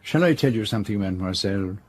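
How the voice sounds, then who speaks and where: An actor playing a shrewd, courteous private detective in an audio drama, measured and gently probing. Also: male voice, on the low side, animated. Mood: persistent, shrewd.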